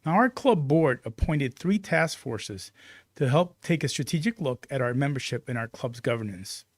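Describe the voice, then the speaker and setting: A man's voice: medium pitch, expressive, normal speed, no echo, studio quality. A man speaking as a club president, delivering prepared announcements to open a meeting.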